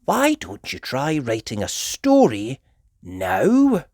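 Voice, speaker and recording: A woman's voice: a bass voice, huge pitch swings, slow, a little mellow, no echo, clean studio sound, pitched above the performer's own voice, exaggerated voice. A woman voicing a reassuring, encouraging mother pig in a children's storybook.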